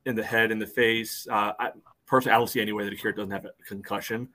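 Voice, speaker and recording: A podcast co-host arguing a soccer call, with "you know" between nearly every phrase. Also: male voice, on the low side, fairly even intonation, very fast, sharp tone. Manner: loose, fills with "you know" often. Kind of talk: casual sports debate.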